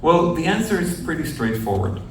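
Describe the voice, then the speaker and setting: A young adult man, a journalist telling a group his story in an earnest, measured, explaining way. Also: on the high side, very animated. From northern Israel. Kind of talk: storytelling talk to visitors. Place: outdoors at a kibbutz near the Gaza border. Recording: very echoey, distant outdoor recording with faint noise.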